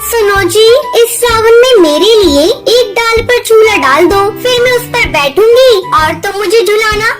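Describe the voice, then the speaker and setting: An extremely high, girlish cartoon bird, a young wife with an excitable, pleading temper. She talks eagerly and insistently over loud background music. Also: unhurried, hardly any pauses. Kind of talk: Hindi children's cartoon dialogue. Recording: loud music bed behind voice.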